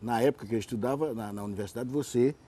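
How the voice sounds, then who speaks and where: Mellow-voiced man interviewing haltingly. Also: mid-range voice. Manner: hesitant, halting questions. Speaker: interviewer, show host. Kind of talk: conversational interview.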